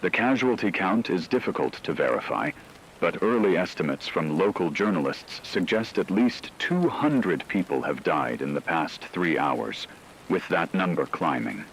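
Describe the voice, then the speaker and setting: Man acting as a radio drama news anchor, low voice, grave, formal, measured, factual, slight background noise. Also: sing-song, poor audio. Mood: grave, controlled. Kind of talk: scripted breaking radio news report.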